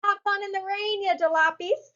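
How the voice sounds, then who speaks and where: A woman plays a cocky little monster truck, a young boy with a very high voice and a stubborn streak. He is exclamatory and playful, straight out of a picture book, on a slightly muffled recording. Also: fairly even intonation, normal speed, fairly bright tone. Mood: defiant. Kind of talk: children's picture book read-aloud.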